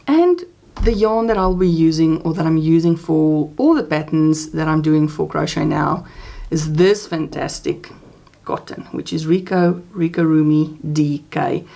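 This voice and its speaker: A woman's voice: low voice, unhurried, gravelly, dark tone. A woman whose first language is Afrikaans, chatting casually as host of her crochet channel, rambling with fillers and restarts. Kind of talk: casual crafting chat.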